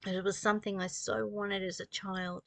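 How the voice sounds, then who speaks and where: An older woman in her sixties speaks in a close, dry recording at a middle pitch. She teaches like a spiritual guide, moving between read passages and her own commentary. Her delivery is earnest and preaching, built on repeated phrases and rhetorical questions. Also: little pitch movement, moderate pace, creaky, fairly bright tone, dry recording. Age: 60s. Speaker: spiritual teacher reading and commenting on a study text.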